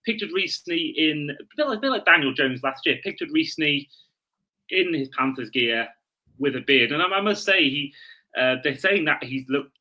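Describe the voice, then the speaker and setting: A man's voice: a little high, expressive, normal speed, sharp tone, poor audio. A man hosting a sports podcast in casual chat, often correcting himself mid-sentence.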